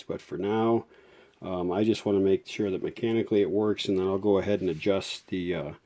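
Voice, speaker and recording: Low, mellow-voiced man talking through repairs. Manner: folksy, unhurried, thinking aloud. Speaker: host of a vintage audio repair channel. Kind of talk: casual hands-on repair demonstration.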